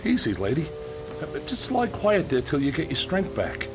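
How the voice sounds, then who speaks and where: An actor playing a gruff but kind-hearted demolition contractor in a radio drama, with an American accent and colloquial, earnest, insistent working-man speech. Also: a little high, huge pitch swings, normal speed, music in the background. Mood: apologetic, concerned.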